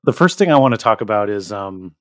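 Casting: male podcast host, lower-pitched, plain-spoken introductions and questions. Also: sing-song, a little fast. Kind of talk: scripted introduction and casual interviewing.

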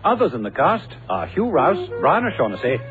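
A man's voice: on the high side, wildly swooping pitch, normal speed. A man reading a scripted introduction as the announcer of a vintage radio drama. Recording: tinny old radio broadcast with music. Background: music.

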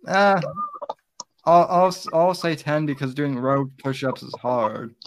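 A young man hosting a livestream, soft-spoken and hesitant, often restarting his sentences. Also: on the high side, takes it slowly, slight background noise. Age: young adult. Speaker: livestream host. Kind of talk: casual livestream chat.

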